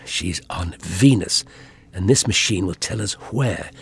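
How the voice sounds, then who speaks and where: Guy doing a rambling clever eccentric traveller, husky, hesitant, voice wandering up and down, audiobook. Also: low-pitched, lively intonation, a little slow, no room sound, pitched below the performer's own voice, exaggerated voice. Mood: explaining. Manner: hesitant, stammering, musing. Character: rambling, clever, whimsical male time traveller.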